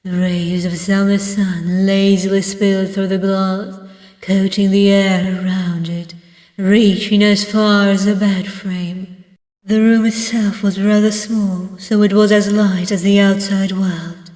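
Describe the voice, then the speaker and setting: A woman with a Russian accent reading first-person story narration from a game in a measured storybook manner. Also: mid-range voice, flat delivery, a little mellow. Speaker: let's play narrator. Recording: echoey, long reverb, distant mic.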